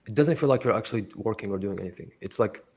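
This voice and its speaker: Young Iraqi-born man, low voice, rambling. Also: quick pace, a little mellow, breathy edge. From Iraq. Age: young adult. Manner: rambling, lots of "like" fillers, swears freely. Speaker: interview guest. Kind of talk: casual conversational interview.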